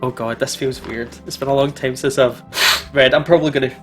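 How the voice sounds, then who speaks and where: A man's voice: mid-range voice, fairly even intonation, a little fast. A man in a casual let's-play, chatting and reading aloud with informal banter and exclamations. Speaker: gaming channel co-host. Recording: music playing behind the voice. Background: game music.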